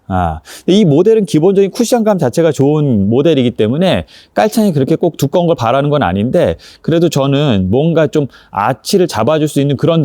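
A man's voice: on the high side, dark tone, no echo, clean studio sound. A man presenting a casual hands-on review, explaining each step politely as he demonstrates.

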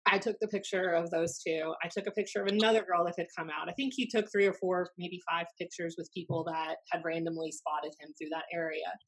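An American woman in her thirties telling anecdotes casually, in a blunt, animated, sweary way, as a podcast host. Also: on the low side, brisk, hardly any pauses, husky, rough recording. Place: small room at home, indoors. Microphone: desk podcast microphone on video call.

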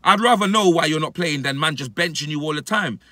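A London man in his thirties with a very bright, forceful, high voice rants casually and emphatically in thick slang. He repeats himself for punch, speaking into a phone inside a car, with a very dry, close sound. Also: fairly quick, no room sound. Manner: emphatic, repetitive street-slang delivery. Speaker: football fan reacting to his club. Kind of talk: animated casual rant to camera, heavy slang. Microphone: phone at arm's length.

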